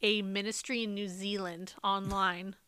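A woman's voice: mid-range voice, level tone, a little slow, sharp tone. A woman co-hosting a podcast in casual chat. She is losing her voice, swears freely and laughs often. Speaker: podcast co-host.

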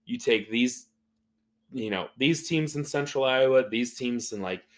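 American guy early 30s, podcast chat, rambly and informal, says like a lot, mid pitch. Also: unhurried. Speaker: podcast host and rugby player.

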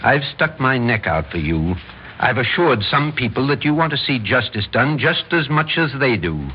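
Radio drama role, male actor: domineering middle-aged American power broker, a man of smooth, pressing speeches; medium pitch, muffled vintage sound. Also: big pitch swings, moderate pace, lightly acted. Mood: stern, insistent. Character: domineering, scheming older political power broker, the Major. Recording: muffled old radio recording, faint hiss.

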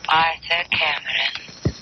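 Slow, menacing ghost, very noisy. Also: female voice, low voice, fairly even intonation. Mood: menacing, eerie. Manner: slow, drawn-out, eerie whispering. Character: menacing ghost of a vengeful dead wife. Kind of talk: 1940s radio horror acting. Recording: very noisy, muffled old radio recording.

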